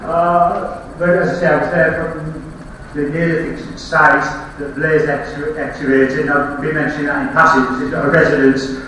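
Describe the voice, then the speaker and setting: A man's voice: fairly high pitch, level tone, normal speed, pushed and bright, recorded from a distance, a little hiss or hum. Yorkshire man lecturing in an echoey hall. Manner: rambling, self-interrupting, wry asides. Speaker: archaeology lecturer. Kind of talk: public lecture with slides. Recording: very echoey hall, speaker off-mic.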